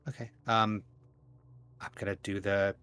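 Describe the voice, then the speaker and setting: A man's voice: lowish voice, little pitch movement, measured pace, pushed and bright, clean tone. A man playing a tabletop roleplaying game, improvising casually in a hesitant, tentative way.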